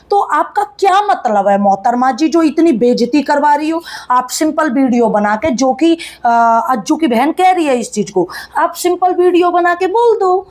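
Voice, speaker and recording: A woman's voice: high voice, a little mellow. A woman, giving casual, blunt, chiding gossip commentary to viewers in colloquial speech. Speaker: YouTube commentator.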